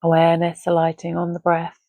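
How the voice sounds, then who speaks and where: A woman with a lowish, soft-toned voice leads a guided relaxation. She is gentle and unhurried, pausing between short phrases. The sound is dry and close, with no room around it. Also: completely flat delivery, no room sound. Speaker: meditation guide. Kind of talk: guided Yoga Nidra relaxation, soothing and measured.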